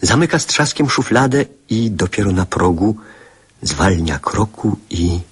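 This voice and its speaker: A man's voice: lowish voice, lively intonation, a little slow, slightly rough. A man narrating childhood memories in a scripted, literary radio play style.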